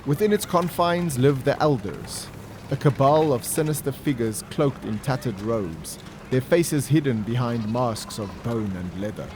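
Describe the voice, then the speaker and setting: A man giving solemn, measured, scripted narration for a dramatic audio story. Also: mid-range voice, expressive, noisy background. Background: music and ambience.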